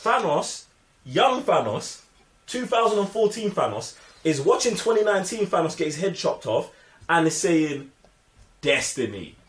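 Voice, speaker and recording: A man's voice: a little high, sing-song, moderate pace, fairly bright tone. A young man with a London accent giving casual, emphatic film opinions full of slang. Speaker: film review show host. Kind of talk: casual movie review chat. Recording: echoey room.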